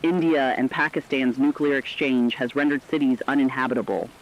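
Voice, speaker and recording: A stern man acting as a war correspondent with a mid-pitched voice. His scripted report is solemn and emphatic. It comes through dull, lo-fi radio sound with rain behind. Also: steady tone, fairly quick, natural voice. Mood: grave, resolute. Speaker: news correspondent.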